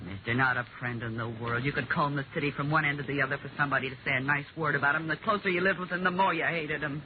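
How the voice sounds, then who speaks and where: An actress playing a bitter, lonely, slangy former waitress turned widow in a 1950s radio drama. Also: female voice, low-pitched, very fast, lightly acted. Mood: bitter, confiding. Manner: world-weary, slangy, blunt. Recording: tinny, noisy old radio recording.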